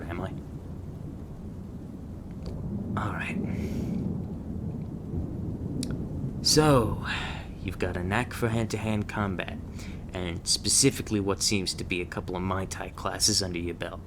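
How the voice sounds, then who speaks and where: A man playing a gruff, sarcastic, guarded monster hunter mentor in an ASMR audio roleplay. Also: deep voice, noisy background, close to an everyday voice. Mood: wry, irritated. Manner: foul-mouthed, sardonic, scornful.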